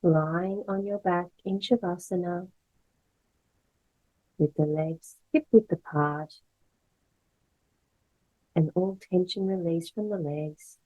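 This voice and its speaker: A woman guiding a meditation as a yoga instructor, speaking in gentle, measured phrases with pauses. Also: on the low side, dull audio. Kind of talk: guided meditation, slow soothing instruction.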